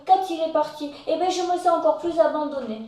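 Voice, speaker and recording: A woman's voice: sits high, fairly quick. A woman joining a conversational discussion, chiming in and completing thoughts with examples, recorded with a distant mic in a very echoey room. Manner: chimes in, finishes thoughts with lively examples.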